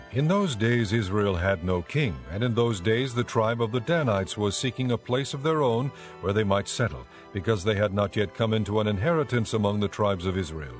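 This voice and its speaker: Low-voiced male scripture narrator over music.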